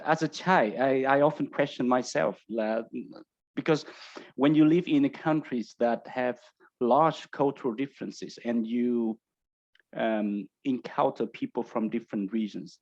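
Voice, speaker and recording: A man from Vietnam with a Vietnamese accent, explaining his research as an interview guest in a hesitant, halting way. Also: mid-range voice, darkish tone, slightly rough. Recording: dull lo-fi audio.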